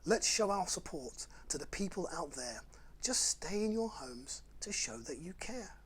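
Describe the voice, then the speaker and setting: A man voicing a kindly, curious little mouse in a children's storybook, earnest and polite, with a husky tone. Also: sits high, big pitch swings, unhurried, a bit bright, exaggerated voice. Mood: encouraging.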